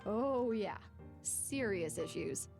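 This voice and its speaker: A woman's voice: mid-range voice, animated, slow pace, a little mellow. A woman voicing a wry, cynical female clerk in a video game, with a dry, drawling and sardonic delivery. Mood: amused, wry. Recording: music behind the voice. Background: game music.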